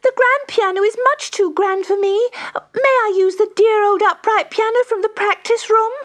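A woman's voice: very high voice, steady tone, normal speed, fairly bright tone, voice pushed up. A woman voicing an earnest, nervous little girl pupil, timid and pleading, in a children's story.

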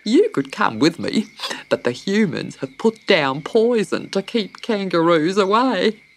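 A woman's voice: mid-range voice, expressive, measured pace, voice pushed up. A woman voicing a big, giddy, gossipy emu in a children's story, with a fluttery, exclaiming manner. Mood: chatty.

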